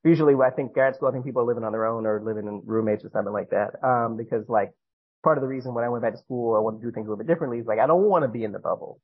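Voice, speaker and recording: An American man from the Northeast talks very quickly in a husky voice. He hosts casually, rambling and interrupting his own sentences. The recording sounds a bit dull and lo-fi. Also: medium pitch. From Northeastern United States. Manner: informal, rambling, self-interrupting. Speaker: interviewer, host. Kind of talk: casual chat.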